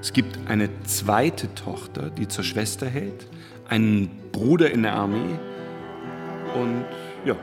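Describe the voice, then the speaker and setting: Reverberant, eager slick male pitchman. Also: expressive, takes it slowly, darkish tone, exaggerated voice. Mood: eager, persuasive. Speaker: screenwriter pitching a series. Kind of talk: theatrical radio play monologue. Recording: long reverb, clear music behind.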